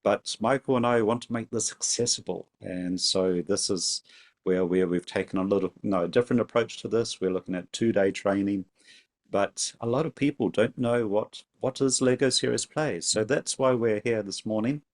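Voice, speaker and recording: A man co-hosting a webinar, speaking in a measured, conversational way. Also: on the low side. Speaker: academic and workshop co-host. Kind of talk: webinar talk. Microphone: video call.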